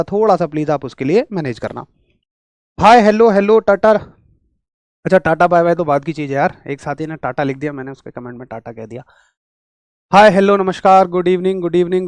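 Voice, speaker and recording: Energetic male teacher with a sore throat. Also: fairly high pitch, dry recording. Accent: rural Hindi. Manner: energetic, chatty, talks straight to students. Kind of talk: online physics class.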